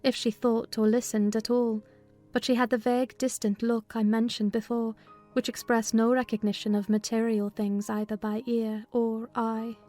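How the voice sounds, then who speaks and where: A woman playing an observant, composed housekeeper who narrates a literary story in a measured, descriptive way. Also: on the high side, flat delivery, warm tone, faint music behind, lightly acted. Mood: gentle, reflective. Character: female housekeeper recounting events, composed and observant servant. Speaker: narrator in a dramatized novel reading.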